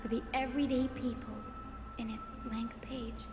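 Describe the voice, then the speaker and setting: Gentle young fantasy game heroine, played by a woman: high voice, earnest and hopeful, soft lyrical delivery, long reverb with music underneath. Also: little pitch movement, normal speed, lightly acted. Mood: earnest, solemn. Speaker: video game character. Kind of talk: scripted game voice acting.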